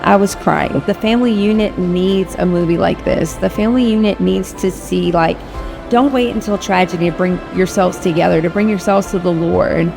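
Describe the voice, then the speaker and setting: Creaky, emphatic woman over music. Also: fairly low pitch, steady tone, moderate pace. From Atlanta, United States. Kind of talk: film testimonial.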